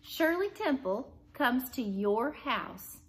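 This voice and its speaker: A woman reading aloud the part of a chatty, gently probing woman in a storybook. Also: high voice, sing-song, slow, voice pushed up, heavily acted. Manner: chatty, leading questions. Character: Alice, chatty adult woman, delighted then gently probing. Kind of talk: storybook character dialogue read aloud.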